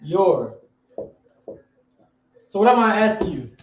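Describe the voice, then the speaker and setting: High-voiced man teaching in an echoey room. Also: big pitch swings, takes it slowly. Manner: quizzing the class, repeats phrases. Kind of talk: classroom teaching.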